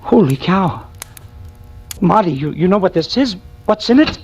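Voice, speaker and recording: An actor playing an anxious, loyal younger cop in a 1940s radio drama. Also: male voice, sits high, expressive, a little slow, darkish tone, highs cut off. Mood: shocked, pleading. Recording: vintage radio broadcast, music behind.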